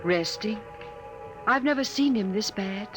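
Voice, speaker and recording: An actress playing a serene, devoted young wife in a 1950s radio drama, speaking formally and with measured poise. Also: female voice, medium pitch, expressive, lightly acted. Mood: quiet concern. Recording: vintage radio drama with faint music.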